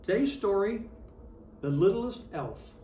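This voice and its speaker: Expressive storybook reader in an echoey room. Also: medium pitch, takes it slowly. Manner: expressive storytelling with acted character lines. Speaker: storybook reader in character as Santa Claus. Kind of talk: children's picture book read-aloud. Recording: roomy, distant mic with faint noise.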